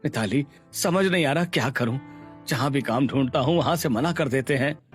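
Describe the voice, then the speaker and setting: A man's voice: mid-range voice, normal speed, soft background music, natural voice. Man playing a worried, downcast young husband. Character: worried, struggling husband and father out of work. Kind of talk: Hindi cartoon story acting.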